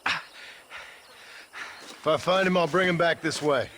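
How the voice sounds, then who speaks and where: American drifter, courteous, laconic, wry, slightly higher voice, game drama. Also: male voice, slow, noisy background. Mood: stoic. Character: polite, wry cowboy drifter, calm and helpful. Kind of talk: video game dramatic acting.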